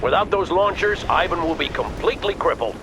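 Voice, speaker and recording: An actor playing a stern, resolute army colonel in a military video game, speaking in clipped, authoritative orders. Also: male voice, sits high, animated, a little fast, background noise. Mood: grave, resolute. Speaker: military commander.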